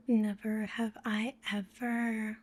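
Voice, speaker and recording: A woman with a breathy, whispery voice, improvising an ASMR roleplay as casual, teasing banter. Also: fairly high pitch, level tone, very slow. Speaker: ASMR roleplay performer playing sisters.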